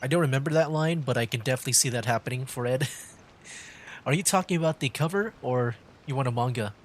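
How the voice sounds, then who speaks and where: A man casually commentating on a game livestream in a hesitant, halting way, often repeating himself. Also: mid-range voice, slight background noise. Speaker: streamer playing a video game. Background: game music.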